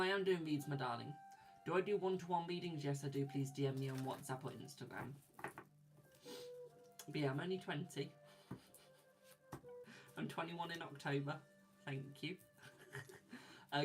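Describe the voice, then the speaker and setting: A man's voice: fairly high pitch, steady tone, normal speed, long pauses, faint music behind. Chatty young man reading viewer comments. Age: 20s. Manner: chatty, reads viewer comments aloud, laughs often. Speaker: tarot reader. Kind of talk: live stream chat with viewers.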